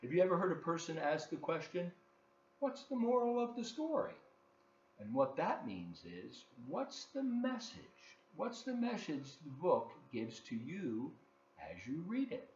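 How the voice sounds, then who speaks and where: A storyteller reads to children with a very lively, swooping delivery. The pace is slow, with dramatic emphasis and friendly asides to the listeners. The recording is roomy and a little dull. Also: medium pitch. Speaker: volunteer children's story reader. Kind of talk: animated picture-book read-aloud with asides to children. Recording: roomy, dull lo-fi, faint noise.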